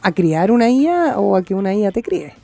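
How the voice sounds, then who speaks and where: A woman's voice: medium pitch, sing-song, fairly quick, gravelly, crowd noise. A woman with a Chilean accent hosting a live radio panel in a conversational style. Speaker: radio host.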